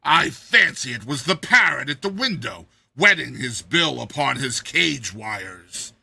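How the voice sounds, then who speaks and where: Male narrator as storybook courtier, obsequious, affected, genteel, sharp, slightly husky. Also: mid-range voice, very animated, unhurried, no room sound, exaggerated voice. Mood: smug, suggesting. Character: smug, obsequious royal courtier in storybook tale.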